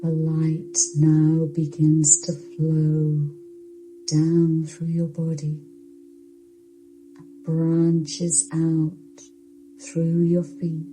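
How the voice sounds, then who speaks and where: A woman narrating a guided yoga nidra meditation in a soothing, hushed, unhurried voice with long pauses. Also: deep voice, slight background noise, poor audio.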